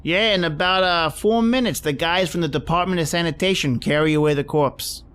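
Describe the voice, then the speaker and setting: A brash, streetwise cab driver acted by a man in a radio play. His voice is on the high side for a man, and he chats away in casual slang. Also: fairly quick, a bit bright, smooth, lightly acted. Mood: chatty. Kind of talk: old-time radio drama acting.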